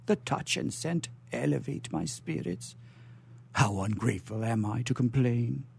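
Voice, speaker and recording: An actor playing a gentle, blind old father in a florid, melodramatic period stage drama, with drawn-out exclamations. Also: mid-range voice, gravelly, slightly rough, full character voice. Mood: wistful, serene. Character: blind, gentle, aged father living in poverty. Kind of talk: melodramatic 19th-century stage acting. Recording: audible background noise and hum.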